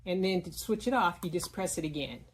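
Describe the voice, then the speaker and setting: A woman giving a casual, explanatory product demonstration in plain words, often pausing mid-sentence. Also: lowish voice, expressive, a little hiss or hum. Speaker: product reviewer.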